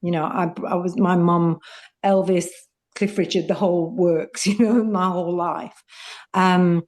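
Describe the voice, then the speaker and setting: A woman's voice: on the low side, steady tone, a little slow, darkish tone. A middle-aged woman, a student, speaking heartfelt and rambling on a video call. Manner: heartfelt, rambling, laughs easily. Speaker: student and participant. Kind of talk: casual testimonial.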